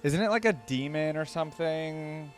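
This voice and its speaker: A man's voice: on the high side, lively intonation, moderate pace, soft background music. A man hosting a reaction video, commenting casually in informal, slangy fan talk.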